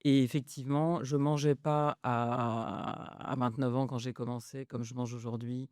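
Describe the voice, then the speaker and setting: A woman's voice: a bass voice, level tone, unhurried, a little mellow, no room sound, studio quality. A woman in her fifties, a food journalist chatting casually as a guest, hesitant with many fillers and easy laughs. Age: 50s. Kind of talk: casual conversation.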